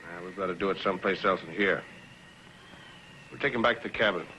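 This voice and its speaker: An actor playing a ruthless, calculating outlaw boss in a 1950s TV western, blunt and commanding, with rustic speech. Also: male voice, sits low, fairly quick, sharp tone, slight background noise, poor audio. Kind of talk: 1950s television western acting. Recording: old 1950s TV soundtrack with music.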